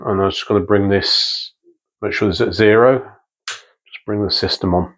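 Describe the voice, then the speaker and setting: A man giving unscripted hands-on workshop commentary in a matter-of-fact, methodical way, with hesitant phrasing. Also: on the low side. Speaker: presenter of a vintage radio restoration channel. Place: indoor workbench in a small workshop.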